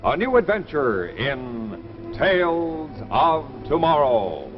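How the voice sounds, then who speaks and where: A man's voice: fairly high pitch, very animated, takes it slowly, faint music behind. A man announcing a 1950s television programme in a dramatic, polished manner. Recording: dull vintage TV soundtrack.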